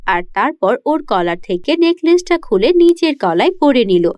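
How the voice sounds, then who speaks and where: A woman tells a scripted fairy tale for children. Her voice is very high and expressive, rising and falling a lot, in a dry close recording. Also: normal speed, no room sound. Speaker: storyteller.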